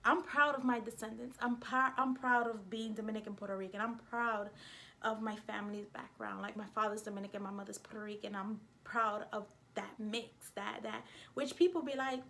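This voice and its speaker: A woman with a New York accent talking casually to camera as a vlogger, in an emphatic, indignant and expressive way. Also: medium pitch, normal speed, vocal fry, a little hiss or hum. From United States.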